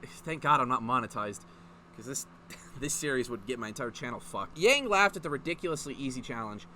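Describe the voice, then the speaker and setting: A man's voice: mid-range voice, expressive, fairly quick, sharp tone, slight background noise. A man reading a story aloud in a mocking, informal way, breaking off to add sweary, incredulous commentary. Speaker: online video host reading fan fiction aloud.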